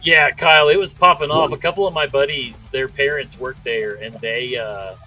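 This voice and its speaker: A man in his late thirties chatting casually and profanely as a podcast co-host, telling stories in an animated way. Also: mid-range voice, normal speed, soft background music, low-quality recording. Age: late 30s.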